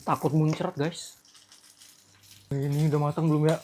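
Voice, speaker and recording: A woman's voice: sits low, level tone, normal speed, mellow. A woman with an Indonesian accent chatting in casual slang as a food vlogger eating on a mukbang.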